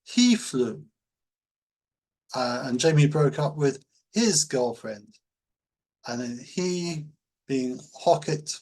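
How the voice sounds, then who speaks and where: A man teaching in an informal tutorial, explaining things in a halting, hesitant way. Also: medium pitch, expressive, darkish tone. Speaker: language-learning teacher.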